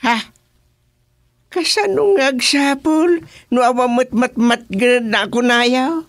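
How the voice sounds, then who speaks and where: A man's voice: extremely high pitch, slow pace, creaky, a bit bright, dry recording, studio quality, exaggerated voice. A man playing a bemused, joking village chairman in a comedic radio drama, drawling and reacting with interjections.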